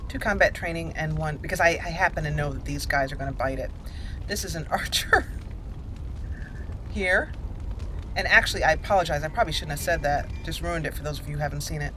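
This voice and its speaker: A woman's voice: low voice, brisk, sharp tone, slight background noise, poor audio. A woman hosting a casual video game playthrough, chatting and thinking aloud over game ambience. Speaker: host of a gaming channel.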